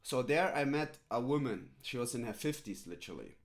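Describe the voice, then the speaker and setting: A man's voice: medium pitch, animated, brisk. Rambling streamer man. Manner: rambling, filler-heavy, repeats "right?" and "literally". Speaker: streamer coaching a viewer. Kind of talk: casual live-stream chat.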